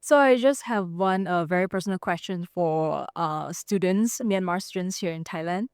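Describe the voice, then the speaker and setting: A woman's voice: on the low side, moderate pace, smooth, dry recording, clean studio sound. A young woman from Malaysia asking a seminar question, polite and hesitant. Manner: hesitant, frequent "like", polite Thai particles. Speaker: audience member asking a question. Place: seminar room.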